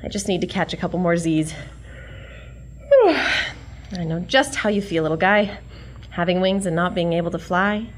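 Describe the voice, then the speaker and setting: A woman's voice: lowish voice, fairly even intonation, normal speed, pauses often, slight background noise, close to an everyday voice. A woman playing a plucky, wisecracking young museum worker in a comic radio drama, perky and sassy. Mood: tender, chatty.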